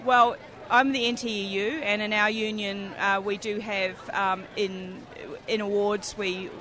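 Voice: medium pitch, little pitch movement, a little slow. An union member answering street interview questions, earnest and hesitant, with many fillers. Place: outdoors at a crowded rally. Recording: crowd noise behind.

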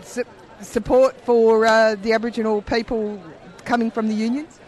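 A man reporting for community radio, briskly asking people questions at an outdoor rally. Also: very high voice, level tone. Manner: brisk, friendly, prompting questions. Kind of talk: street vox pop interviewing.

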